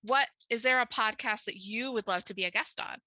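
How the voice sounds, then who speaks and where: A woman therapist chats as a podcast guest, keeping her voice bright and a little high. She answers warmly with many short agreements and friendly questions. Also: fairly quick, lo-fi sound. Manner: affirming, lots of short agreements. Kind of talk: casual chat.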